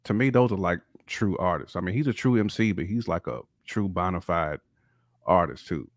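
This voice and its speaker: A man's voice: deep voice, normal speed, dry recording, clean studio sound. A man chatting as a panelist on a hip hop talk show, giving opinions in emphatic slang and repeating words. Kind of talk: casual opinionated chat.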